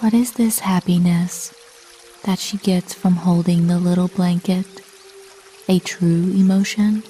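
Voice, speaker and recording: A woman narrating a scripted sleep meditation like a bedtime story, calm and soothing, with measured delivery and long pauses. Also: fairly low pitch, faint music behind.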